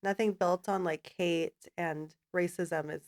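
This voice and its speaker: A woman's voice: fairly low pitch, fairly even intonation, unhurried. A woman hosting a podcast, talking casually and thoughtfully with her guest, backing her up and building her points through repetition. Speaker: podcast host. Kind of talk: casual interview conversation.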